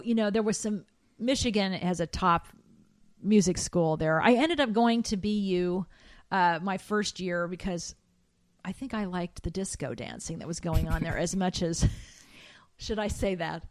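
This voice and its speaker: A middle-aged woman, a trained soprano, recalling her past in an animated way, with fillers and restarts. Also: measured pace, dry recording. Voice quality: high soprano singer. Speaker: guest. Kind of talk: conversational interview.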